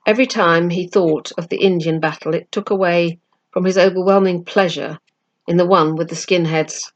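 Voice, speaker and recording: A woman's voice: lowish voice, steady tone, normal speed, dull audio. A woman reading a children's novel aloud as a storyteller, expressively and with acted dialogue.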